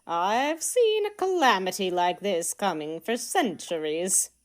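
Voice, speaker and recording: A woman playing an ancient, grave Martian sage in a comic sci-fi audio play, with dramatic pauses. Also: mid-range voice, big pitch swings. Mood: grave, theatrical. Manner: slow, dramatic, long pauses.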